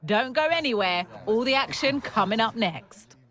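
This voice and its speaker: A woman's voice: a little high, lively intonation, moderate pace, a bit bright. A woman playing an eager TV football commentator in a video game, giving emphatic, dramatic scripted pre-match build-up. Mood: building excitement.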